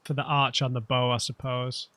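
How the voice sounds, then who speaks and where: A man commenting as he plays a game, a bit nasal and losing his voice from hay fever, musing aloud in a relaxed way. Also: medium pitch, moderate pace, very noisy. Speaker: let's play gamer. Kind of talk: gameplay commentary.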